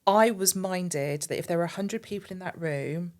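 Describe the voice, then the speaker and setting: An earnest woman speaks as a guest on a podcast, pressing her points with emphasis. Her voice sits a little low for a woman, and she often repeats a word or two as she gets going. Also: moderate pace, darkish tone. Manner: earnest, emphatic, repeats words when starting. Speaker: podcast guest, marketing agency director. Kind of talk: casual podcast conversation.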